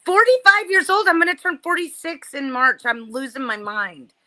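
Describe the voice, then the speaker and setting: A woman's voice: high voice, normal speed, bright, forceful tone. A woman in her forties, chatting with family on a live stream, teasing and laughing often. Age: 40s. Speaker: guest, sister of the host. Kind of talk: casual family chat.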